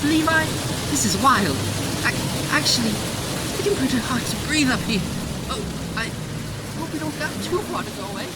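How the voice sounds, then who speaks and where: A woman playing a chatty, upbeat young stowaway who rambles in a folksy, chirpy way in an audio drama. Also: a little high. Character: chatty, upbeat young female stowaway riding outside a ship. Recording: loud engine and ambience noise.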